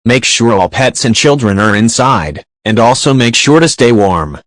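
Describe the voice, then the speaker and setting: A man's voice: medium pitch, lively intonation, moderate pace, fairly bright tone, no room sound, lightly acted. A man playing an earnest radio announcer, reading a scripted storm safety update in a plain, orderly way.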